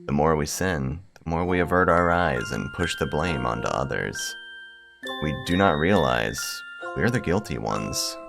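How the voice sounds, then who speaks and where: A man's voice: a bass voice, expressive, normal speed, gravelly. A man hosting a gaming video, talking casually and reading visual novel text aloud. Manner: blunt, crude, sweary joking. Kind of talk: casual commentary and read-aloud narration of game text. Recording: music playing behind the voice.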